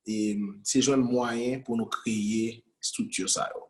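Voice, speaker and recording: A man talks through his ideas in a loose, conversational interview style. He restarts phrases and repeats single words over and over while searching for them. His voice sits in a middling register, neither high nor low. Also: steady tone, takes it slowly. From Haiti. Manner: repeats words while searching, switches between Creole and French. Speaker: guest entrepreneur.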